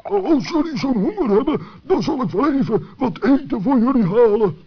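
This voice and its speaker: A man's voice: extremely high pitch, lively intonation, moderate pace, slight background noise, voice pushed up. A man voicing a big blustery walrus who stammers and teases, in a children's radio play. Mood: obliging. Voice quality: blustery, huffing. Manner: stammering repeats, teasing. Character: big grim walrus, scornful joker, huffing through moustache. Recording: muffled old sixties recording.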